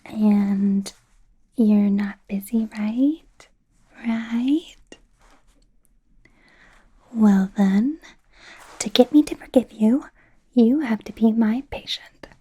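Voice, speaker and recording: A woman's voice: on the high side, very slow, long pauses, heavily acted. Woman playing a whispering, awkward young werewolf doctor-in-training. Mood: pleading. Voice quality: breathy, soft whisper. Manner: chatty, hesitant, gently sarcastic, giggly. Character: young female werewolf doctor student, eager, awkward, wry. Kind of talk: whispered ASMR roleplay.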